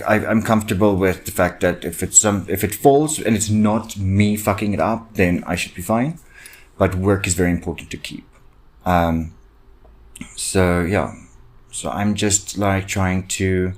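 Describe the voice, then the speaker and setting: A young man with a very deep voice, a native Afrikaans speaker, talks through his worries on a solo podcast. He rambles and halts, restarting sentences and filling gaps with ums, sounding anxious and inward-looking. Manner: anxious, self-reflective. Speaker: podcast host. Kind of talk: solo personal podcast rant.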